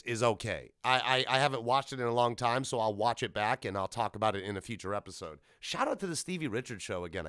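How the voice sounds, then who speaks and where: A man hosting a podcast in a casual solo rant, animated and sweary, with exasperated emphasis. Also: mid-range voice, no echo, clean studio sound. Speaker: podcast host.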